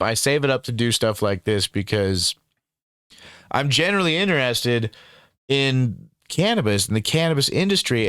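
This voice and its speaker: A man's voice: medium pitch, fairly bright tone, clean tone, no echo, clean studio sound. A man hosting a casual interview, rambling and swearing freely, with lots of fillers. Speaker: interviewer and channel host.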